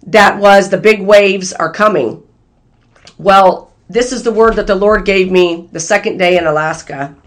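A hoarse channel host, voice worn thin, delivers a prophetic word in an easy, conversational way. The talk stays earnest and speaks directly to the viewers. The pitch sits in a middle range. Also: a bit bright. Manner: earnest, talks straight to viewers as "you guys". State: hoarse, losing voice. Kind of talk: casual spoken prophecy to viewers.

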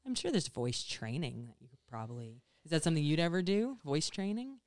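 A woman's voice: sits low, big pitch swings, brisk, a little mellow, no room sound, studio quality. A woman co-hosting a podcast in a plain, neutral delivery, curious and full of follow-up questions. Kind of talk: casual podcast chat.

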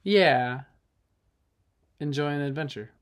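A man's voice: fairly high pitch, slow. A young adult man co-hosting a casual couple's chat, laid-back, with a dry sense of humor. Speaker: podcast co-host.

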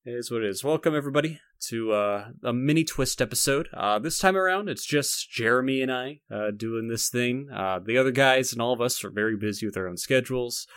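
A man's voice: mid-range voice, fairly quick, hardly any pauses, a bit bright, clean tone, dry recording, clean studio sound. A man chatting casually as a podcast co-host, with playful, bantering delivery.

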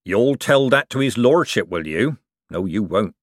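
A man's voice: fairly low pitch, lively intonation, measured pace, no echo, clean studio sound. A man voicing a greedy, sly old male craftsman who growls in coarse working-class speech. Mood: gruff.

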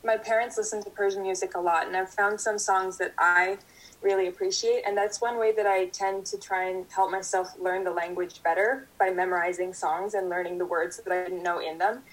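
A young woman from Canada, a guest answering interview questions in a steady, thoughtful way. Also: medium pitch, fairly quick, rough recording. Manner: thoughtful, steady, speaks in full sentences.

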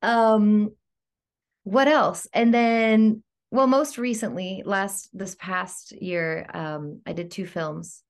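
A woman from Greece, an actor, chatting in a casual podcast interview, upbeat and quick to laugh. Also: medium pitch, fairly even intonation. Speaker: guest actor.

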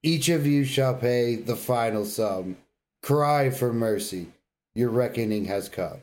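A man voicing a solemn undead horseman villain from a video game, reciting proclamations of doom in a measured, portentous way. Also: medium pitch, darkish tone, lightly acted. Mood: ominous.

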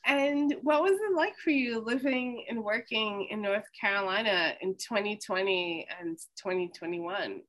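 A woman hosts an oral history interview with a bright, ringing voice. She speaks in a slow, measured way. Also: mid-range voice. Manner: slow, measured, scripted-sounding introduction. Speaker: host.

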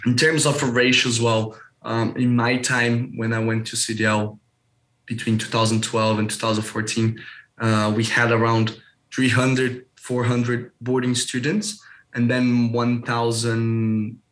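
A man's voice: mid-range voice, flat delivery, measured pace, a bit bright, poor audio. A young man from Brazil with a Brazilian Portuguese accent, answering interview questions casually and searching for words as he goes. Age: young adult. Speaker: interview guest, school alumnus.

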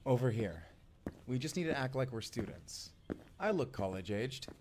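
A man's voice: mid-range voice, sing-song, moderate pace, background noise. A man playing a young, friendly time traveler in an audio roleplay, talking casually and intimately straight to the listener. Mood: casual, cautious. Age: young adult. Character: friendly, chatty male time traveler, college-aged-looking, eager and cautious. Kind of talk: first-person immersive audio roleplay acting.

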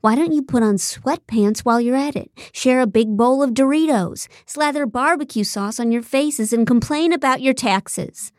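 A woman's voice: medium pitch, fairly quick, vocal fry, warm tone, dry recording, studio quality. Woman as a terse, controlling female producer. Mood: seething sarcasm. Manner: clipped, tight, sarcastic.

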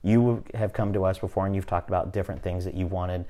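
A man in his fifties hosting a podcast, talking in a relaxed, motivational way and often checking in with "right?". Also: sits low, fast talker. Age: 50s. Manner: conversational, repeats "right?" often, restarts phrases. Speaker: podcast host. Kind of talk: casual motivational chat.

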